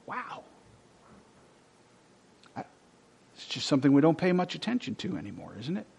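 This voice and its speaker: A male pastor preaching a sermon, reading scripture loudly and dropping to soft, hushed whispers. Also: fairly high pitch, very animated, a little slow, vocal fry, slightly rough. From Texas.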